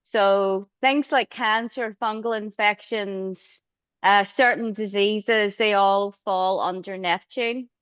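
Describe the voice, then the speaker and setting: A woman's voice: mid-range voice, fairly even intonation, slow pace, a bit bright, clear, resonant tone, no room sound, dull audio. A woman from Ireland, a guest astrologer answering casually with fillers and restarts over a remote call. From Northern Ireland.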